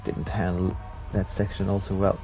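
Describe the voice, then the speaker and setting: A man's voice: low-pitched, flat delivery, normal speed, dark tone, soft background music, rough recording. A man from Sweden, English not his first language, chatting casually to viewers and reading comments while he races on a live stream. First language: Swedish. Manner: chatty, laughs at himself, reads chat aloud.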